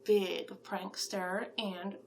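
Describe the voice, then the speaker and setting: A woman plays a female monster matchmaker, chatty and encouraging, confiding in a client as part of an ASMR roleplay. The delivery is unhurried and gushing, with plenty of creaky fry. Faint noise sits behind the voice. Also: mid-range voice, level tone, a little mellow. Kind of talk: horror roleplay ASMR, one-sided conversation.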